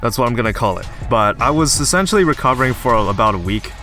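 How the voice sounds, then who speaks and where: Young man, slightly hoarse, lively bright delivery, casual gameplay commentary over faint game music. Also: mid-range voice, fast. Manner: casual, chatty, explanatory. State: slightly hoarse from recent illness. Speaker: gaming YouTuber.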